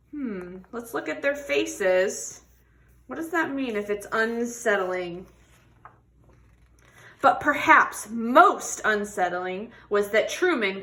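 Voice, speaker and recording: A woman with a bright, fairly high voice reads a children's storybook aloud. She takes her time, drawing out key words for young listeners. Her delivery is expressive, in the manner of someone guiding children through the pages. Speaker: read-aloud storybook reader. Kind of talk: animated children's picture book read-aloud with questions to listeners.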